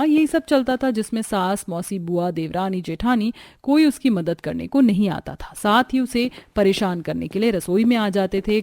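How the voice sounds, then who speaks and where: A woman's voice: medium pitch, dark tone, no echo. A woman giving scripted narration for a cartoon family story.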